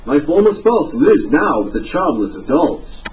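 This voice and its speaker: A man narrating a novel aloud, an expressive audiobook reading. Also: a little slow, a little hiss or hum. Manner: expressive reading with lively pitch. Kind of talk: scripted narration. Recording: dull, distant audio played in a classroom.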